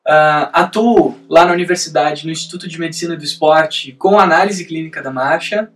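A man's voice: on the high side, normal speed, a bit bright, far from the mic. A man who teaches at a university, a guest on a live webinar, talking a little nervously. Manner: slightly nervous, apologetic.

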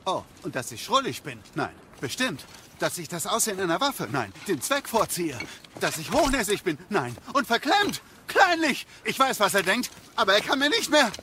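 A man acts an excitable craftsman in an audio drama, fussy and squeamish. The voice is high and bright, swinging widely in pitch, and he gets flustered easily. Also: moderate pace, slight background noise, exaggerated voice. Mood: flustered, defensive. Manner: stammering, fussy, agitated.

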